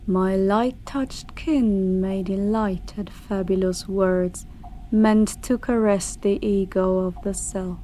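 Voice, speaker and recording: A woman voicing an ancient, haughty dark dragon in a grandiose, archaic ASMR fantasy monologue, deliberate and sometimes dropping into a breathy whisper. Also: mid-range voice, slight background noise, natural voice, poor audio. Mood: haughty, disdainful. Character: ancient female dark dragon, eldritch, haughty, menacing, contemptuous.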